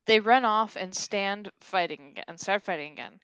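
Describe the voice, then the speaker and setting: A woman's voice: mid-range voice, normal speed, a bit bright, clear, resonant tone, dry recording, low-quality recording. Woman reading stage directions, dry sound. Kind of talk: scripted narration at a table read.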